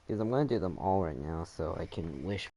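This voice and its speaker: A man giving casual, halting, rambling commentary while playing a video game. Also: deep voice, expressive, warm tone, a little hiss or hum.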